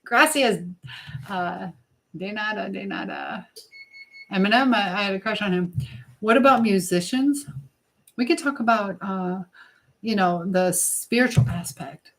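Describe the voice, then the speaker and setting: A woman hosting a casual live chat, reading comments and answering them in a rambling, halting way as she thinks aloud. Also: medium pitch, animated, low-quality recording.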